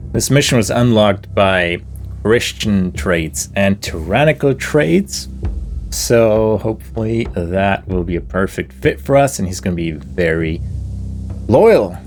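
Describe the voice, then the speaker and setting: Slow, low-voiced male game commentator. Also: a little hiss or hum. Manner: relaxed, slow-talking, reads game text aloud. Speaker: gaming channel host. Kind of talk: casual gameplay commentary.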